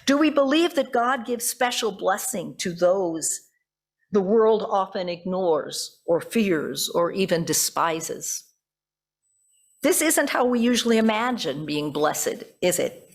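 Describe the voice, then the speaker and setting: Husky-voiced woman pastor preaching a sermon. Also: on the low side, animated, unhurried. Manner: reflective, explanatory, poses rhetorical questions. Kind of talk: sermon, reading from notes with spoken asides. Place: church service.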